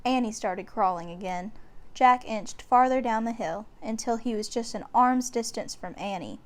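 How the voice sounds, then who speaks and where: High-pitched woman reading a children's story. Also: normal speed. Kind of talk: children's book read-aloud narration.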